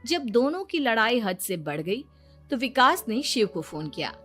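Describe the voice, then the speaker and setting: A woman's voice: fairly high pitch, very animated, unhurried, a bit bright, soft background music. A woman narrating a scripted cartoon story.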